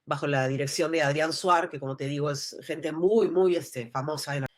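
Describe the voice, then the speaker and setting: A woman's voice: low voice, steady tone, moderate pace. A woman from Lima with a Peruvian accent, an actress talking casually in an interview, full of restarts and fillers. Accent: Lima Peruvian Spanish. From Peru. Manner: rambling, many restarts and fillers. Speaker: actress guest.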